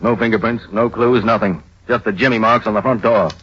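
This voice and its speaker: A hard-boiled male police captain speaks in punchy phrases, low and raspy, with a frustrated edge. The vintage radio drama recording is dull. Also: steady tone, a little fast. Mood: frustrated, earnest. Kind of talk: 1930s radio drama acting.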